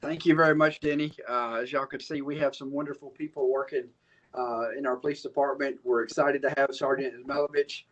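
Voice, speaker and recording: Upbeat police commander on a muffled call. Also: male voice, mid-range voice, steady tone, brisk, a bit bright. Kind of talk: community meeting remarks. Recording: muffled video call audio.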